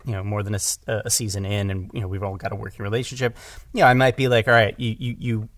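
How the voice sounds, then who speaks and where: A man in a casual conversation as a podcast guest and director, halting and rephrasing as he goes and acting out bits of imagined dialogue. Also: fairly low pitch, little pitch movement, dry recording, clean studio sound.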